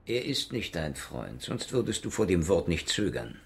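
An actor playing a hard-drinking, sardonic male private detective in a radio drama, gruff, mocking and deadpan. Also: sits low, normal speed, a little hiss or hum, full character voice. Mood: dry teasing.

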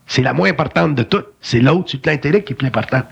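A man with a Québécois French accent explains spiritual ideas in an emphatic, colloquial way. His voice is gravelly and husky and sits fairly high. The recording sounds dull and lo-fi. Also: animated, very fast. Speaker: spiritual speaker. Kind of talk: philosophical conversation.

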